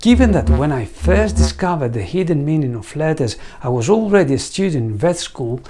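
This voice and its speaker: A man's voice: a little high, a little mellow. A man in his forties with a Greek accent, a veterinarian presenting a scripted lecture in an earnest storytelling manner and putting questions to the viewer, on a lapel mic in a studio. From Greece. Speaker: veterinarian and site founder. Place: studio set against a green screen.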